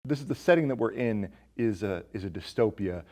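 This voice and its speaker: A man's voice: lowish voice, animated, fairly quick, darkish tone. A man hosting a podcast, chatting casually about movies with jokey, teasing banter. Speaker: podcast host.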